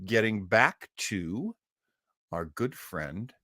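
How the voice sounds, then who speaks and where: A man hosting a livestream, chatting casually to viewers in a halting, enthusiastic way. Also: on the low side, big pitch swings, pushed and bright, dry recording, clean studio sound. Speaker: livestream host. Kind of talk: casual live chat to viewers.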